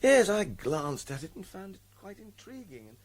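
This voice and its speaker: A man playing a polite, bookish salesman in a period radio drama, measured and hesitant. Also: sits high, big pitch swings. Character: courteous, bookish salesman recommending a book.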